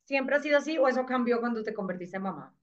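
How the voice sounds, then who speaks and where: Woman, interviewer, higher pitch, inquisitive, encouraging, muffled lo-fi audio. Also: animated, fast talker, a crowd in the background. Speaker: podcast host. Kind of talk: conversational interview.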